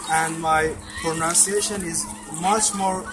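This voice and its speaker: A man with a Persian accent, a native Farsi speaker, slowly giving a faith testimony with many pauses and self-corrections. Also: on the high side, steady tone. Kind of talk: slow read-aloud testimony. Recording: noisy recording.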